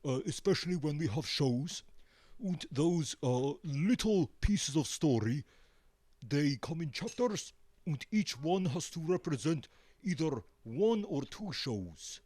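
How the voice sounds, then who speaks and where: A man answering casually as a musician being interviewed, hesitant and pausing often. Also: on the high side, expressive, a little mellow, dry recording. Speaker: band member, interview guest.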